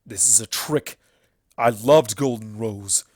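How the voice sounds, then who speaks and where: A narrator voicing an angry, deluded male alicorn stallion in a story reading, shouting and incredulous. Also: medium pitch, slow pace, no echo, clean studio sound. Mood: defiant fury.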